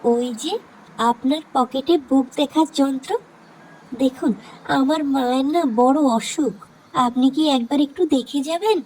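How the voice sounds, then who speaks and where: A woman's voice: high voice, measured pace, darkish tone, a little hiss or hum, low-quality recording. A woman voicing an anxious little girl, pleading earnestly in an audio story drama.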